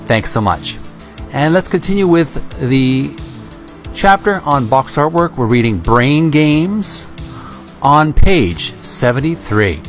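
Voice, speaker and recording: A man hosting a channel, reading a book aloud and adding informal descriptive commentary in halting, piecemeal phrases. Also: on the high side, big pitch swings, faint music behind.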